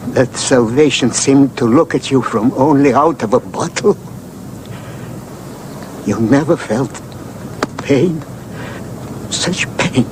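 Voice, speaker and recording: Slow, sorrowful, pleading father. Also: medium pitch, background noise. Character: grieving, humble, impoverished father pleading for his son. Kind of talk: television drama monologue.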